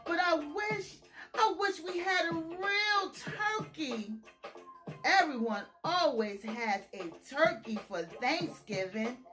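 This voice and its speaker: A woman's voice: high-pitched, expressive, over music. Woman as a wistful, sighing wife moose. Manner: sighing, drawn-out, plaintive. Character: wistful wife moose longing for a holiday bird.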